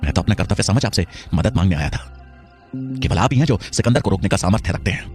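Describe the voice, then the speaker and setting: A man's voice: sits low, expressive, fast talker, a little mellow, faint music behind. A man voicing a proud, principled scholar-teacher in a historical story, formal, solemn and declamatory. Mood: humble, earnest.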